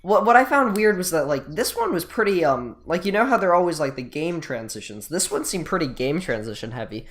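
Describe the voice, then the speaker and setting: A man's voice: a little high, very animated, fairly quick, hardly any pauses, a bit bright, soft background music. A young man co-hosting an anime podcast, chatting casually with hesitant, repetitive phrasing. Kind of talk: casual chat about anime.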